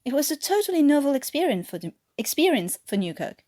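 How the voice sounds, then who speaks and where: Woman narrating with very lively intonation. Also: high voice, quick pace. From France. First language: French. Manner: expressive storytelling with character voices. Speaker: fan fiction author reading their own story. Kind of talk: scripted story narration.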